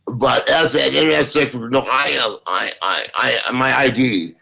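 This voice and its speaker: A man's voice: medium pitch, normal speed, gravelly, pushed and bright, muffled recording. A man in his fifties joking around as a guest, rambling with many restarts. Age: 50s. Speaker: comedic guest. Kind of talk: casual comedic banter.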